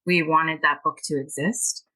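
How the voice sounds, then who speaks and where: Woman co-editor guest; low voice, bright timbre; thoughtful, restarts phrases. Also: fairly even intonation, a little slow, low-quality recording. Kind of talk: casual podcast interview.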